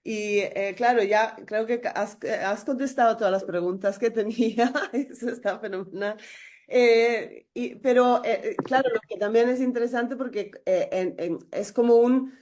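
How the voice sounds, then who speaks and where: A woman's voice: a little high, normal speed, darkish tone. A woman interviewer speaking casually, feeling her way toward questions in hesitant, broken phrases.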